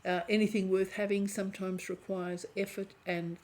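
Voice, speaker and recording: A woman giving a chatty tarot reading to camera, hoarse and often clearing her throat as her voice fades. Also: medium pitch, flat delivery, normal speed, a little mellow. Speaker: tarot reader.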